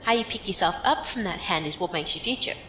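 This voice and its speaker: A plainspoken female mentor played by an actress in an audio drama, talking frankly and encouragingly like a survivor who has thought life through. Her mid-pitched voice sits in a roomy echo with faint noise behind it. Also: lively intonation, fast, sharp tone. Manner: matter-of-fact, advising.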